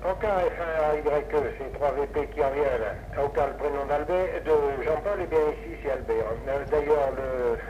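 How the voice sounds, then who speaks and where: A man's voice: on the high side, normal speed, hardly any pauses. A man, chatting casually and hesitantly as an amateur radio operator over a radio transmission, rambling along. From France. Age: 50s. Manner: rambling, hesitant, chuckling asides. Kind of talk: casual ham radio contact. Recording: noisy, muffled 1960s amateur radio recording.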